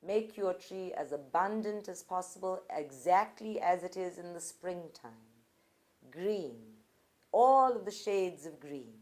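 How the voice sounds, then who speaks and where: A woman guides a group meditation in a fairly low voice. Her delivery is very slow and deliberate, with short phrases set apart by pauses. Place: live group session at a centre.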